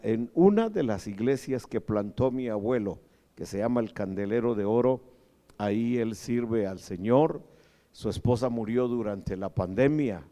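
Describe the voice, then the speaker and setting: A pastor in his sixties giving a sermon in a joking manner, growing louder as he preaches in a large church hall. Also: medium pitch. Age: 60s. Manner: slow, joking, grows loud when preaching. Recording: long church reverb.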